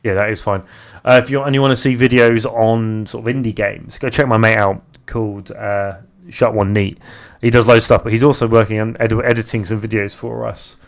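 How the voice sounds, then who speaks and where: A young British man streaming live, chatting casually and haltingly as he reads out viewer messages. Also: fairly low pitch, steady tone. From Britain. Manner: rambling, halting, restarts often while reading viewer chat aloud. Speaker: live streamer and game developer.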